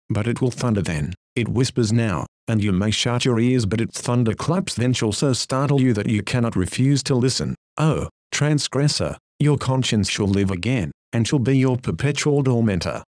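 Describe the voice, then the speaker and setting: A man's voice: mid-range voice, a little mellow, no echo. Fervent male preacher, dry close sound. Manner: fervent, solemn exhortation. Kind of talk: reading a Victorian-style sermon aloud.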